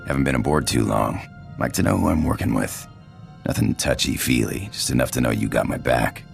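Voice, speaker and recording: Gravelly, rock-bottom-deep cocky space pirate. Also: male voice, a little fast, faint music behind, full character voice. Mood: cocky, casual. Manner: drawling, clipped casual slang, swaggering. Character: cocky roguish space pirate, tough flirtatious adult man. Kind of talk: video game character acting.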